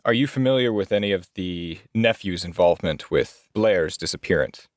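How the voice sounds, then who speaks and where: A man playing a formal, probing 1920s investigator, questioning in a deliberate, articulate way. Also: low voice, animated, no echo, studio quality. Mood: serious, probing.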